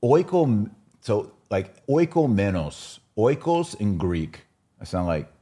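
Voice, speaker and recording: A man with a low voice and a highly varied, animated intonation talks as a podcast host in a casual religious discussion. He is opinionated and emphatic, explaining his points and often putting them as rhetorical questions. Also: slow pace.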